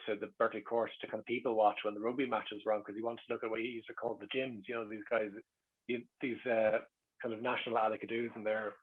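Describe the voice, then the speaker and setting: A fast-talking Irish man reminisces casually as a panel guest. His voice is husky and rough-edged at a middling pitch. The sound is a little dull and lo-fi. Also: little pitch movement.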